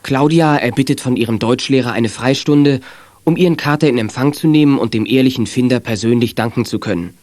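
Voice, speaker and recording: A man narrating a German children's detective radio play in a measured, articulate storytelling manner. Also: mid-range voice, darkish tone, dry recording, natural voice. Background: faint music.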